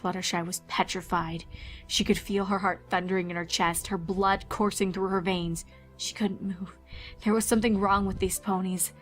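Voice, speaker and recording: A woman's voice: medium pitch, level tone, moderate pace. A woman reading a scripted horror story as a dramatic narrator. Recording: faint music behind narration. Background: faint music.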